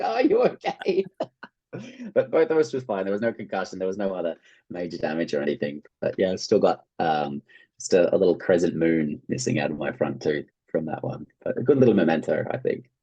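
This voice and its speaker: An Australian man who works as an actor, telling stories casually as an interview guest in an animated way. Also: sits low, slightly rough. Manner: animated anecdote-telling, acting out quoted reactions.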